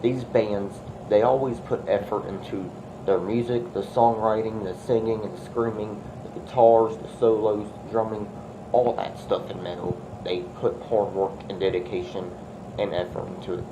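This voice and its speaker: A man's voice: on the low side, moderate pace. A man with a congested, stuffy voice from a cold, talking through an album review in an earnest, rambling, repetitive way. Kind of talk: casual music review vlog. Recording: steady background noise.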